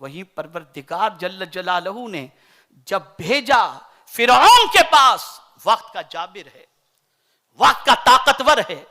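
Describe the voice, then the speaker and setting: A man from India, preaching a sermon in an oratorical style that swings between loud declaiming and soft recitation. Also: high voice, very animated, slow. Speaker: Islamic preacher.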